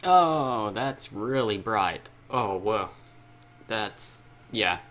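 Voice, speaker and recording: A man's voice: fairly low pitch, expressive, drawn-out words, fairly bright tone. A man hosting a gaming video, reading a visual novel aloud and breaking in with casual, self-interrupting asides.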